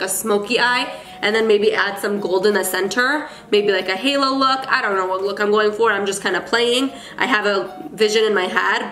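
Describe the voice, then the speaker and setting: A woman's voice: medium pitch, a bit bright. A young woman with a cold, sounding congested and nasal, chatting about her makeup in a gushing, casual way. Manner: chatty and gushing, with filler ums. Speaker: makeup artist and beauty vlogger. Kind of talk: casual makeup tutorial chat. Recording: echoey room with faint music.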